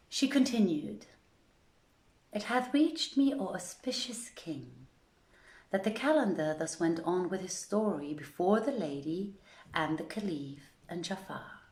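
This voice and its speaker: A woman reading a story aloud in a measured, deliberate storytelling manner, with an informal live feel. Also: mid-range voice, a little mellow, echoey room. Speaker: reader in a theatre project's online reading series.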